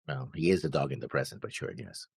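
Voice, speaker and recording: A man co-hosting a reaction show, talking casually through notes with halting, noncommittal phrasing. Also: extremely low pitch, expressive, creaky, slightly rough, no room sound, studio quality. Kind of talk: casual discussion, reading out notes.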